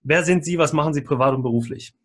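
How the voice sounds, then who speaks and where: A male podcast host with a somewhat high-set voice and a distinctly husky tone. He presents and asks questions in the manner of an interview show, part scripted, part spoken freely. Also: quick pace, fairly bright tone.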